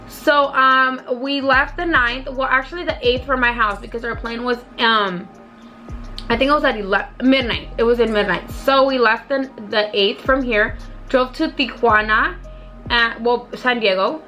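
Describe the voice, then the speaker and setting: A hoarse young woman who has partly lost her voice tells a rambling, chatty storytime to her viewers as a beauty vlogger. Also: high voice, pushed and bright. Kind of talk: casual storytime vlog.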